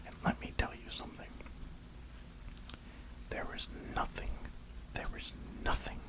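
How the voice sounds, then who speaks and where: A reviewer whispering an enthusiastic, rambling ASMR film review in a breathy, unvoiced voice. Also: poor audio. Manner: enthusiastic, rambling, emphatic repetition.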